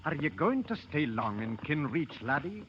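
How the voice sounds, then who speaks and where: Lilting Scottish cart driver. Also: male voice, fairly high pitch, sing-song, normal speed. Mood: musing, doubtful. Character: canny Scottish village donkey-cart driver. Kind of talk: 1930s radio acting. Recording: noisy, muffled 1930s radio broadcast.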